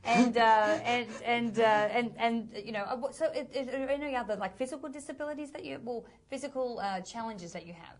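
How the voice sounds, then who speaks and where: A woman's voice: fairly high pitch, fairly quick, few pauses. A woman hosting an interview, asking questions and encouraging her guest with frequent affirming interjections. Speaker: host. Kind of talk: interview conversation.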